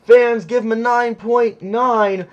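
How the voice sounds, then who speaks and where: A male play-by-play commentator with a high voice who is losing it, going hoarse as he calls the action. He is hyped and keeps exclaiming, but his delivery stays unhurried. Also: fairly even intonation, dull audio. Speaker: commentator for a simulated monster truck series.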